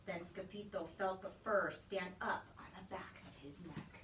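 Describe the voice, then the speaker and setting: A woman reading a children's story aloud as a teacher, with expressive, animated narration. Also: on the low side, measured pace, noisy background. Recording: echoey room, distant microphone.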